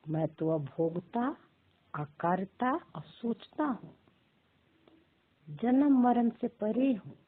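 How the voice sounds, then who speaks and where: Female meditation guide, extremely slow delivery with long pauses, breathy-rough tone, muffled telephone-grade sound. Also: mid-range voice, animated. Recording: muffled narrow phone-like audio.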